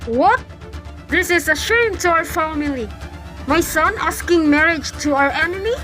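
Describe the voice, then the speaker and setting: A woman's voice: extremely high pitch, expressive, moderate pace, fairly bright tone, soft background music. Woman playing an outraged proud chief. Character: proud male chief, shamed and angry.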